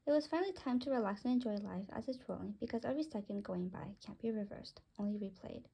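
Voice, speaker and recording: A woman's voice: mid-range voice, fast talker, creaky, warm tone, a little hiss or hum. A woman narrating a scripted first-person story, reading it aloud.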